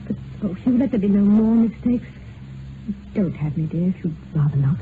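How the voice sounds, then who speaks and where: An actress playing a refined, anxious runaway wife in an old radio drama, with an earnest, theatrical delivery. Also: female voice, mid-range voice, animated, moderate pace, natural voice. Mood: pleading, yearning. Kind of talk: mid-20th-century radio drama acting. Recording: noisy, tinny old radio recording.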